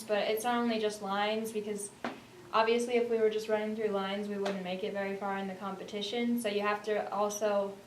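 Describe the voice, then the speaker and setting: A teenage girl, a student actor, answering interview questions in a casual way. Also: mid-range voice. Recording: roomy, distant mic, faint noise.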